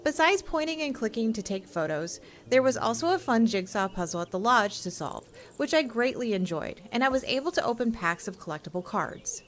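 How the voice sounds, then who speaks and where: A woman's voice: a little high, sing-song, brisk, hardly any pauses. A woman co-hosting a games show, moving between casual chat and scripted review narration. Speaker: show co-host and game reviewer.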